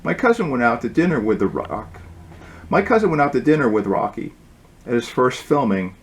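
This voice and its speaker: A man's voice: medium pitch, very animated, moderate pace. A man voicing a chatty, gossipy, well-dressed female chicken from a storybook. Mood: impressed.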